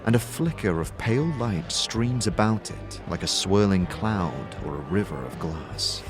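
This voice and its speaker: Low-voiced, expressive male fantasy narrator. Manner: measured, dramatic storytelling. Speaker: narrator and game master. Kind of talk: scripted second-person fantasy narration. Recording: soft music behind the voice.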